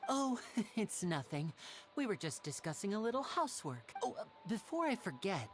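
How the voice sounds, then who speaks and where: A woman playing a polite, composed, caring elder sibling in a video game, with a gently formal manner. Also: mid-range voice, very animated, moderate pace, music in the background, close to an everyday voice. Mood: poised, polite.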